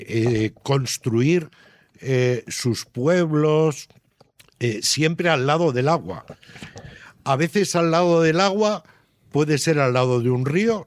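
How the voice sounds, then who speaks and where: A man's voice: mid-range voice, no echo. An older man answering as an interviewed writer, thoughtful and didactic, often adding tag questions to seek agreement.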